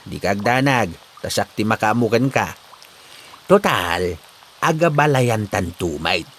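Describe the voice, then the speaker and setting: A man playing an expressive older village father in a comedy drama. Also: lowish voice, unhurried, a little hiss or hum. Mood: reassuring. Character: lively, caring older father, village neighbor. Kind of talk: amateur Ilocano comedy drama acting.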